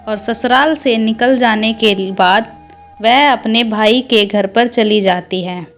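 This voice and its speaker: Female narrator for a cartoon storybook tale, scripted read, slightly high pitch, music bed. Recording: music under the voice. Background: background music.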